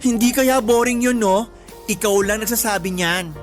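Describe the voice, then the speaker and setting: A woman's voice: on the high side, normal speed, faint music behind, close to an everyday voice. An actress playing a sassy, blunt female best friend in a radio drama, colloquial and outspoken. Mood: teasing, casual.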